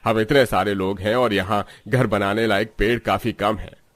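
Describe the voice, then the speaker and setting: A man playing an appreciative, reasonable village headman with a measured, approving manner in a cartoon story. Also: fairly low pitch, fairly even intonation, a bit bright, natural voice. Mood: impressed. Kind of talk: Hindi cartoon story dialogue.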